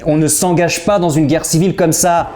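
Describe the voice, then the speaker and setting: A man's voice: on the high side, fairly even intonation, normal speed, a little mellow, soft background music, natural voice. A man playing a jaded, commanding crime clan chief in a sci-fi audio drama, assertive and coldly menacing. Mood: stern, reproving.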